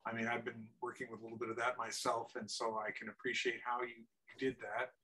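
A man's voice: mid-range voice, completely flat delivery, fast talker, background noise. A man in the audience giving a wordy, appreciative comment on a video call. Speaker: audience member.

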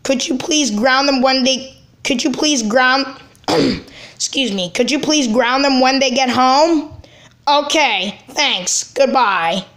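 A woman as a high-voiced, indignant cartoon brother. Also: fairly bright tone. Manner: halting, repeats phrases. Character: indignant, worried cartoon brother scolding two troublemakers.

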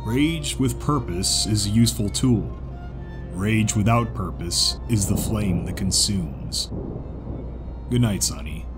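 Guy doing a grave wise mentor for a fantasy audiobook, low voice, music under it. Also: slow. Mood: solemn.

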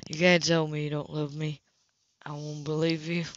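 A woman's voice: sits low, flat delivery, moderate pace, dull audio, slight background noise, voice pushed down, natural voice. A woman voicing a determined, blunt, smitten man, insistent and earnest, in an audiobook romance. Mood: earnest, tender.

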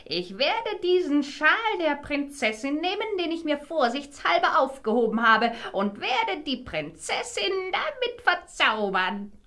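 A wicked old sorceress scolds in a fairy-tale radio play. Her voice sits high and leaps about wildly. She is theatrical and vengeful. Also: big pitch swings, unhurried, hardly any pauses, fairly bright tone, full character voice. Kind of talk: fairy-tale audio drama acting.

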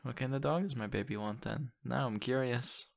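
A man playing an excitable, affectionate young boyfriend in an intimate ASMR audio roleplay, talking haltingly and teasing playfully. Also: medium pitch, close to an everyday voice. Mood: fond, curious. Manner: halting, repeats words, breathy laughs and sighs.

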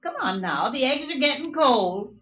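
A woman's voice: high voice, animated, moderate pace, fairly bright tone, muffled recording. An actress playing a mother who scolds her son and calls him to breakfast, in a 1950s radio drama. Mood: scolding.

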